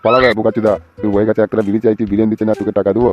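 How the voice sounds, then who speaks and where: Man playing a grumbling, low-voiced old village father-in-law. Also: level tone, rapid-fire, dull audio, lightly acted. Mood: angry, threatening. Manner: grumbling, coarse, demanding. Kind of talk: comedy cartoon dubbing. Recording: music playing behind the voice.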